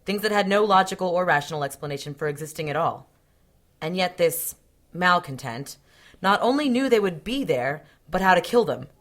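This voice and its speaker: Low-voiced, blunt detective. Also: female voice, animated, normal speed, fairly bright tone. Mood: frank, exasperated. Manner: blunt, no-nonsense. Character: level-headed, blunt female police detective. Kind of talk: audio drama acting.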